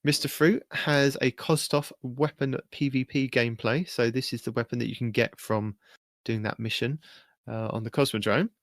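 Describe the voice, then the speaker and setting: Male gaming podcast co-host, average pitch, casual and explanatory, recorded dry without room sound. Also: fairly even intonation, normal speed, dry recording. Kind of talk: casual gaming podcast chat, running through video guides.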